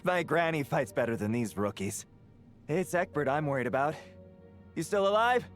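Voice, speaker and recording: Brash soldier guy, acted, wry, kinda high male voice, video game, bit of music. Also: animated, moderate pace, close to an everyday voice. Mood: urgent.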